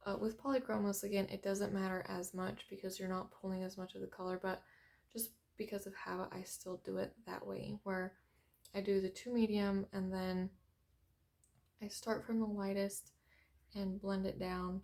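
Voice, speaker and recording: A young woman hosting a coloring tutorial in a very quiet, soft-spoken voice, hesitant and apologetic, with many ums as she works. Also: mid-range voice, little pitch movement, normal speed, gravelly. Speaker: art tutorial host. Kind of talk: unscripted coloring tutorial narration.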